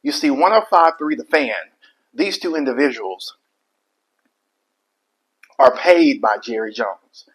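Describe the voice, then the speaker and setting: Slow, emphatic preachy male commentator. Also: medium pitch, animated, low-quality recording. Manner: deliberate, emphatic, moralizing, halting phrases. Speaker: online commentator, life coach.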